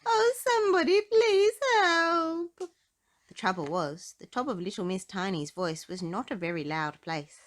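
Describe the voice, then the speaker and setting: A woman reading a children's story aloud in an expressive, dramatic storytelling style. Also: on the low side, normal speed, few pauses. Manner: dramatic, animated delivery with emphasis. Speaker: storybook narrator.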